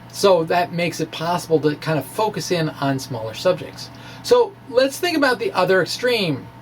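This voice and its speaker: A man giving an informal science explanation in a halting way, as if thinking aloud. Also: fairly high pitch, a little hiss or hum. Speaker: amateur scientist presenting his blog.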